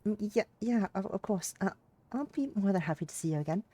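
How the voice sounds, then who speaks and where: A woman's voice: medium pitch, animated, brisk, mellow, natural voice. Woman playing a stammering flustered young woman.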